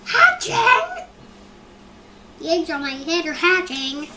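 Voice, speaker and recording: A child voicing an excitable, alarmed elephant from a picture book. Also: very high-pitched, slow, voice pushed up, heavily acted. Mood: exasperated. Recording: echoey, noisy room recording.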